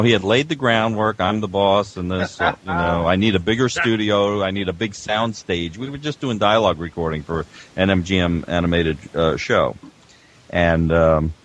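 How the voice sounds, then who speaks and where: A man with a deep voice tells anecdotes in a casual, conversational way as a veteran audio producer sitting on a panel.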